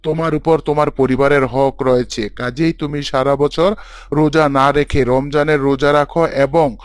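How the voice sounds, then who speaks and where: A man's voice: fairly high pitch, steady tone, moderate pace, dry recording, lightly acted. A man playing a devout imam who gives formal, instructive sermons in a cartoon story. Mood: solemn, instructive. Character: devout male imam teaching his congregation.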